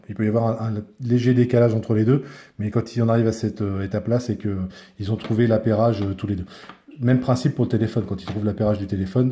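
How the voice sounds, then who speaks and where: A man's voice: on the low side, warm tone. A man giving a casual hands-on product review, speaking informally and often searching for his words.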